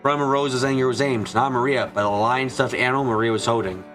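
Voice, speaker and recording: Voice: mid-range voice, steady tone, brisk, fairly bright tone, soft background music. A narrator reading a story in a dramatic, scripted style.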